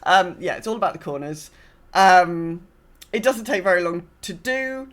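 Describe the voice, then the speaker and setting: A woman's voice: on the low side, lively intonation, moderate pace, fairly bright tone. A woman co-hosting a casual gaming podcast, speaking in a wry, understated and somewhat hesitant way. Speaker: podcast co-host.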